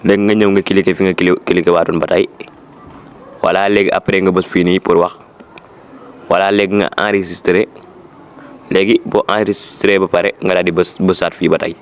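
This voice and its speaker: Low-voiced Senegalese man giving a tutorial. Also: level tone, fairly quick, pauses often, slight background noise. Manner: repetitive, instructive, with French words mixed in. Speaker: tutorial presenter. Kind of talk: informal step-by-step app tutorial.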